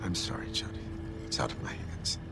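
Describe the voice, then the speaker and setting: A man playing a troubled middle-aged scientist in a video game drama, speaking softly and gravely. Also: fairly quick, over music, natural voice. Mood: resigned, apologetic. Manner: soft, grave, apologetic.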